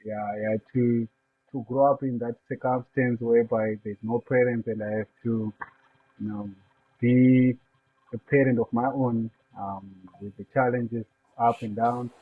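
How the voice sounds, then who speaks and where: A man from Zimbabwe, a guest on a podcast, telling his life story in an unhurried, soft-spoken way. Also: mid-range voice, fairly even intonation, slight background noise. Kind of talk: casual interview over a call. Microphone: remote call line. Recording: tinny phone-like call line.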